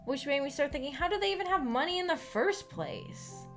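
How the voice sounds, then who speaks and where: A woman with an American accent chatting casually to viewers as a book club streamer, in a giggly, halting, tentative manner. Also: sits high, animated. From United States. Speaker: streamer hosting a visual novel book club. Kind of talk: casual chat, discussing a story with viewers. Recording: voice over background music. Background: background music.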